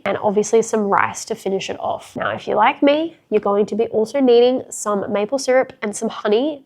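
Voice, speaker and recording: A woman's voice: mid-range voice, darkish tone. A young woman with a congested, sick-sounding voice, chatting frankly and upbeat through a cooking tutorial in her home kitchen. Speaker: fitness vlogger.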